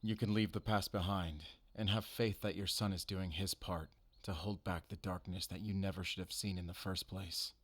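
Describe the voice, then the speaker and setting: A weary veteran monster hunter voiced by a man for a cinematic audio drama. His voice sits low and is recorded very dry. He speaks with guarded, earnest restraint, stern but gentle underneath. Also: steady tone, moderate pace, few pauses, no room sound. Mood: calm, reassuring. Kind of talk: cinematic audio roleplay acting.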